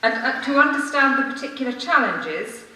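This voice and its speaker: Distant echoey room, woman, higher bright voice, formal public address, earnest measured delivery. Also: expressive. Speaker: member of the public addressing a city council. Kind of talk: formal prepared speech, read aloud. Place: large council meeting room, indoors. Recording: echoey room from far off, faint noise.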